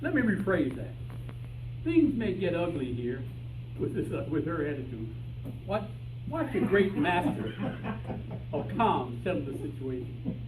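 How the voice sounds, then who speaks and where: A man's voice: fairly high pitch, sing-song, a little slow, long pauses. A man playing a boastful, muddled private detective in an old-time radio style comedy. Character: bungling, cocky private detective man seeking his canary. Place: live rehearsal in a room with audience. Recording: distant echoey room recording, noisy.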